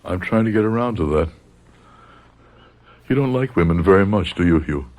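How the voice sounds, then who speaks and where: An actor playing a grave middle-aged doctor in a radio drama, speaking in a measured, probing, controlled manner. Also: male voice, low-pitched, lively intonation, a little mellow. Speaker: doctor, narrator. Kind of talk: radio drama acting with narration.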